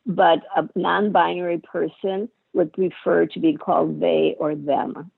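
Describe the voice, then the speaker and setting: A woman's voice: lowish voice, fairly even intonation, a little slow, vocal fry, husky, dry recording. A woman in her eighties, a guest in a casual interview, who talks frankly and often interrupts. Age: 80s. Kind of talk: conversational interview. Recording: tinny phone line.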